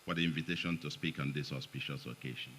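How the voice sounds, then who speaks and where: A middle-aged Nigerian man addresses a forum audience, his very deep voice carrying a bright, forceful edge. He keeps it loose, slipping in joking asides between points. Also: fast, slight background noise. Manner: chatty, joking asides, chuckles between remarks. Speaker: guest speaker at an economic forum. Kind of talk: public speech, partly read. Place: event hall, in front of an audience.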